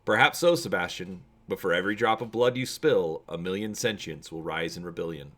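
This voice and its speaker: A man's voice: low-pitched, fairly quick, fairly bright tone. A man reading the part of a charismatic, defiant rebel orator in a dramatic storybook reading.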